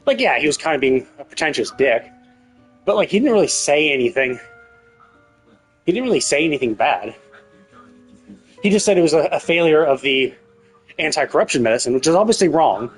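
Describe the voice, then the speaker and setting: A man doing casual live game stream commentary, rambling and thinking out loud with casual swearing. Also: on the high side, animated, fairly quick, long pauses. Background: faint game music.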